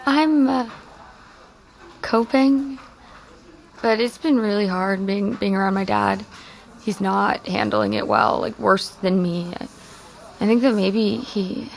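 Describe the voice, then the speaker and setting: An American woman playing an earnest, grieving teenage high school girl in an audio drama, speaking haltingly and emotionally. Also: expressive, gravelly, poor audio. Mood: hurt, hesitant. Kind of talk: naturalistic audio drama dialogue.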